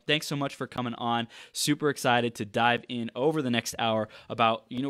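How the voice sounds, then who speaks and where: A man from the United States hosting a podcast interview, enthusiastic and quick with short affirmations. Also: medium pitch, fairly bright tone, dry recording. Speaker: podcast host.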